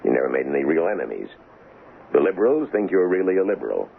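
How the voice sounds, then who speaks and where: Gravelly, very deep-voiced political fixer. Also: male voice, very animated, quick pace, full character voice. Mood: wry, persuasive. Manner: glib, wheedling salesman's patter, wry. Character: shrewd, folksy male political kingmaker, cynical and pushy. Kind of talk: old-time radio drama acting. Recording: muffled, noisy old radio broadcast.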